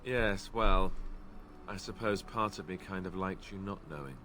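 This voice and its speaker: An awkward, earnest young knight talks in a hesitant, self-effacing ramble in a game. His voice sits a little low. A faint hum runs behind him. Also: unhurried, bright, forceful tone. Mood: uneasy. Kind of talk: game character dialogue.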